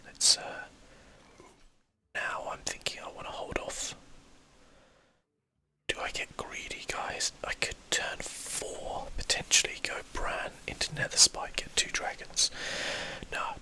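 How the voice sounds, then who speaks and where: A person whispering casual ASMR gameplay commentary, breathy and unvoiced, thinking aloud as they play. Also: pushed and bright. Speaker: gaming video host.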